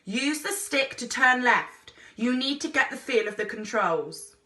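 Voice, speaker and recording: A woman's voice: a little high, animated, a little slow, bright, forceful tone, roomy sound, voice pushed up. A woman voicing a daredevil male explorer pilot from a storybook, commanding and a little mad, barking urgent instructions. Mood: instructing. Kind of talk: storybook character voice.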